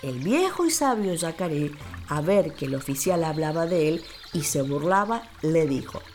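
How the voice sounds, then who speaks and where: A woman's voice: deep voice, very animated, moderate pace, darkish tone. A woman giving scripted narration of a children's radio play as an actress. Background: music bed.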